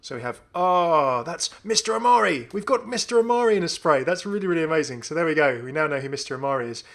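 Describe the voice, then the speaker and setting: Creaky-voiced man doing game commentary. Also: a little high, lively intonation, fast talker, a bit bright. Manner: enthusiastic. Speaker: gaming video host. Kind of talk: casual commentary on a game update.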